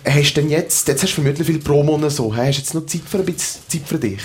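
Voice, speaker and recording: Swiss German male host, very husky, slightly higher voice, chatty. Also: fast talker. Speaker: radio show host. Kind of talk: interview hosting.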